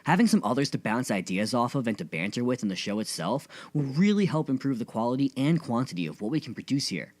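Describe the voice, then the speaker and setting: A male podcast host reads from a script with an earnest tone. His pitch sits in the middle range for a man and moves up and down in a lively way. He enunciates carefully. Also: fast, few pauses.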